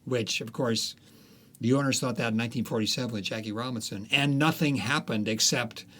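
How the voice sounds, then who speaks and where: A male author, interviewed as a guest, recounting history and his book's plot in a storytelling way, with frequent pauses and fillers. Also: mid-range voice, bright, forceful tone.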